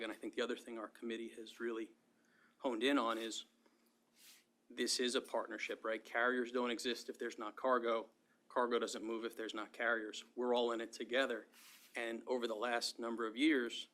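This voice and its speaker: A man giving measured remarks at an advisory committee meeting, thinking aloud with frequent restarts. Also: medium pitch, pushed and bright. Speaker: committee member.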